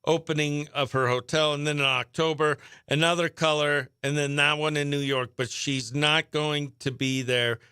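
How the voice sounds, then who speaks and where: A man with a high, bright, smooth voice hosts country morning radio. He works like a showy announcer, pumping up each introduction and bantering playfully with listeners. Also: fairly even intonation, normal speed, few pauses, no echo, studio quality. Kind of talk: excited live radio presenting and chat.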